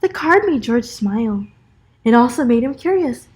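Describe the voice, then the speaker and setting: High-voiced woman reading a children's storybook aloud. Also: normal speed, breathy edge. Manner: animated, encouraging asides to young listeners. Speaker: special education teacher reading aloud. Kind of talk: expressive children's storybook read-aloud with asides.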